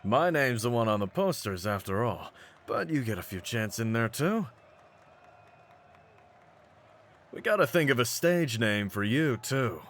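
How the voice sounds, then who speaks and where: A man voicing a cocky, brawny pit-fighting champion in a roleplay audio, with a deep voice and streetwise, mocking swagger. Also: sing-song, moderate pace, a bit bright. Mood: proud, hyped. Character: cocky, brawny half-breed pit-fighting champion, hyping his partner. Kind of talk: scripted in-character roleplay audio.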